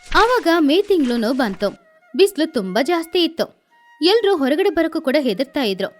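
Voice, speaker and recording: A woman's voice: sits high, big pitch swings, moderate pace, faint music behind. A woman narrating a scripted children's story.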